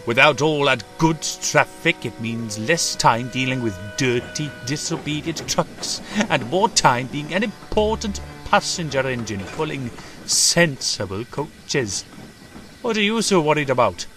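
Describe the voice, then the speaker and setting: A man's voice: mid-range voice, animated, measured pace, fairly bright tone, soft background music. A man voicing a smug, snobbish male steam engine in a children's railway storybook, with a haughty manner.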